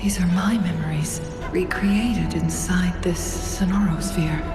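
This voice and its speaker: A woman voicing a composed, pensive warrior in a video game, measured and reflective. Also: lowish voice. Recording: long hall reverb, music behind.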